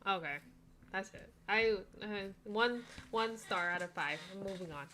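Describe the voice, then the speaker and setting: A woman chatting casually as she co-hosts book reviews, with an expressive, dramatizing way of talking. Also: mid-range voice, normal speed. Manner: expressive, exaggerates mock dialogue for emphasis.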